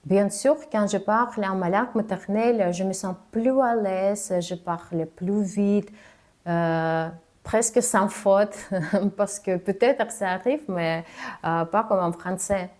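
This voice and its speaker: A woman coach giving spoken advice on confident speaking, halting and careful as she talks in a language that is not her first. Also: mid-range voice, a little mellow. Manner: careful, halting delivery of a non-native speaker. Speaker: communication coach.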